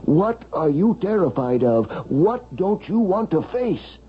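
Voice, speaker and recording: An actor playing an earnest, probing doctor in a 1970s radio drama, questioning intensely and insistently. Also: male voice, sits high, very animated, measured pace, slight background noise. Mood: insistent, pressing. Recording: muffled vintage radio recording.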